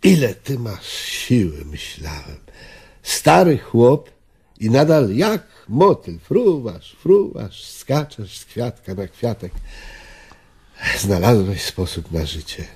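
Radio drama: melancholy, principled old man, reflective and gentle, low husky voice, unhurried delivery. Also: wildly swooping pitch, exaggerated voice. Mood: apologetic, wistful. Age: older. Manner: hesitant, pensive, probing.

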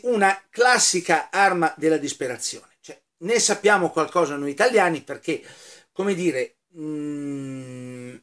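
A middle-aged man in his fifties talks straight to his webcam with a clip mic on his chest, his voice sitting a little high. He halts often, filling gaps and repeating words, and keeps a sardonic, joking tone as he addresses his viewers as sirs. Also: fairly bright tone. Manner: halting, with many fillers and repeated words. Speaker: history video host. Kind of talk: casual talk to camera. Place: indoor room full of flags and scarves.

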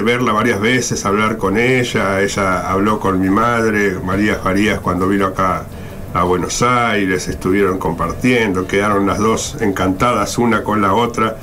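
A man's voice: on the low side, fairly bright tone. A man hosting a radio show in an informal, effusive, wandering monologue. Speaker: radio host. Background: music.

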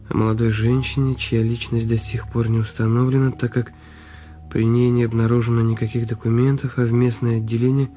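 A brooding, secretive husband in a radio detective drama, played by a male actor. His voice is mellow and even, delivered deliberately in short phrases over faint music. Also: mid-range voice, lightly acted. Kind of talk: radio play acting.

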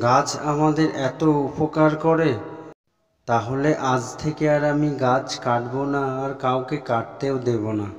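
A man's voice: mid-range voice, muffled recording, lightly acted. A man playing an earnest village woodcutter, a husband, in an amateur cartoon dub. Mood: thoughtful, resolved. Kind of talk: amateur Bengali cartoon dubbing. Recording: long reverb.

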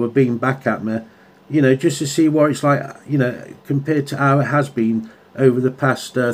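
A man with a mid-pitched voice makes casual radio contact as a hobbyist. He is chatty and slips easily into radio jargon. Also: a little mellow. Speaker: radio hobbyist and video maker. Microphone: handheld radio microphone.

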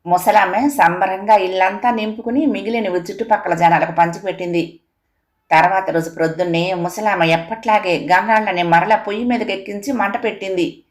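A woman narrates a scripted moral tale for children. Her pitch sits in the middle range for a woman. She speaks as a storyteller. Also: steady tone, a little fast.

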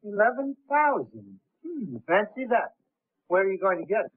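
High-voiced, haughty 1930s film gentleman. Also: big pitch swings, slightly rough, dry recording, exaggerated voice. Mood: smug, mocking. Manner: haughty, sarcastic drawn-out delivery. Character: smug, mocking man refusing a loan. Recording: tinny 1930s film soundtrack.

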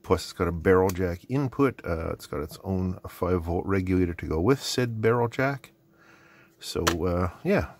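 A man's voice: sits low, animated, normal speed, background noise. A middle-aged man giving casual unboxing commentary, rambling and thinking aloud as he goes. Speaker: host. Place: indoor hobby workbench.

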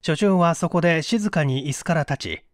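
A man's voice: on the high side, normal speed, dry recording, clean studio sound. A man reading a mystery story aloud as a scripted literary narration. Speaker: audiobook narrator and reader.

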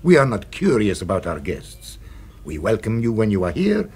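An actor playing an effusively courteous, secretive restaurant proprietor in a 1970s radio drama, husky and given to ending remarks with "eh?". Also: male voice, fairly low pitch, huge pitch swings, normal speed, a little mellow, heavily acted. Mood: suave, secretive. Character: unctuous, secretive male restaurant proprietor, darkly philosophical.

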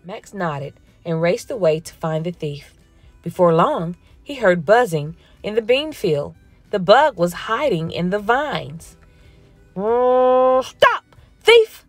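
Slow, gentle woman reading a storybook. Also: on the low side, expressive, no room sound, soft background music. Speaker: storybook reader. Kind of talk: children's read-aloud narration.

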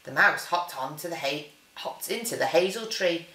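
Expressive woman reading a storybook, roomy. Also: low-pitched, distant mic. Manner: dramatic, deliberate phrasing. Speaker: teacher reading to children. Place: living room, indoors. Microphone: camera a short distance away. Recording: roomy living-room echo.

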